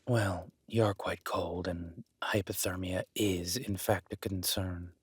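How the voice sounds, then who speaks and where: A man playing a gruff, skeptical but caring cabin dweller in an intimate audio roleplay, blunt and sweary yet grudgingly reassuring. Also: sits low, steady tone, takes it slowly, no echo, exaggerated voice. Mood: apologetic, reassuring. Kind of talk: immersive audio roleplay, intimate naturalistic acting.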